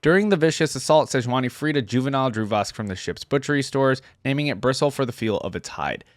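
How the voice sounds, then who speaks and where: A man's voice: mid-range voice, lively intonation, fast talker, dry recording, clean studio sound. A man hosting a reaction channel, moving between casual commentary and reading aloud.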